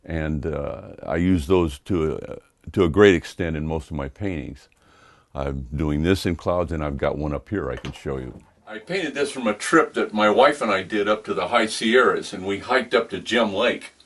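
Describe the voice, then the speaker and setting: A man's voice: sits low, moderate pace, a bit bright. An American man in his sixties talking casually about his painting work. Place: indoor home studio.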